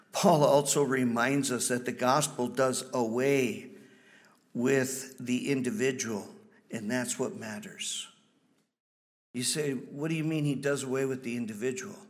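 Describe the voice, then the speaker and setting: A man in his fifties with a Wisconsin accent, a missionary giving a folksy, halting church presentation full of ums. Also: medium pitch, steady tone. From Wisconsin, USA.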